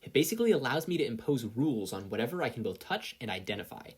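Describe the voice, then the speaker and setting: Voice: medium pitch, very animated, fast talker, breathy edge. A reader voicing an earnest, somewhat sheepish teenage boy hero student in a story, explaining eagerly and nervously.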